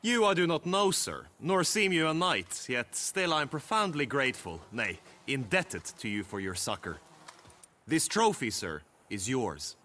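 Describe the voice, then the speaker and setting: An earnest young fantasy game knight with a forceful, bright voice of medium pitch. His delivery is highly expressive, formal and gallant, like a lovestruck champion. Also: a little slow, exaggerated voice. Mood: gallant, grateful. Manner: formal, ornate, impassioned. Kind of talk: video game voice acting.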